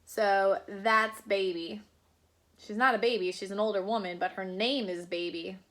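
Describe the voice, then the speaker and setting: A woman's voice: medium pitch, fairly even intonation, measured pace, a bit bright. A woman teacher reading a picture book aloud to children, adding chatty asides to the kids.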